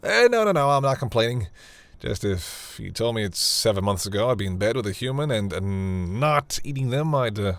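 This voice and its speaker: A man's voice: lowish voice, very animated, normal speed, hardly any pauses, dry recording. A man playing a proud but secretly tender vampire lord in an intimate, theatrical audio roleplay. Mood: embarrassed, teasing. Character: proud male vampire lord, flustered, secretly tender toward human lover.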